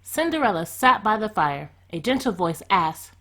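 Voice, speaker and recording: A woman reads a fairy tale aloud as a storyteller. Her mid-pitched voice moves with lively, expressive swings that shape each sentence. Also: normal speed.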